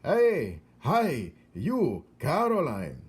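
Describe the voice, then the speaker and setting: A man's voice: on the high side, huge pitch swings, very slow, breathy edge, voice pushed up, full character voice. A man voicing a crazy, agitated old foreign man in a storybook reading. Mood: shouting.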